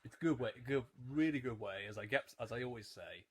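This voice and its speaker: A man's voice: medium pitch, fast talker, husky. A man of about twenty giving casual, rambling, opinionated commentary on a show as he watches it, often breaking off mid-thought. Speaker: YouTube commentator on a children's TV series. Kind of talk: casual rewatch commentary, off the cuff.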